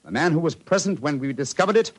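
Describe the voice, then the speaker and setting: An actor playing a brilliant, commanding British gentleman detective with crisp, formal phrasing in an old-time radio drama. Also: a little high, lively intonation, fast. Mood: triumphant, explanatory. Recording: vintage radio recording, faint noise.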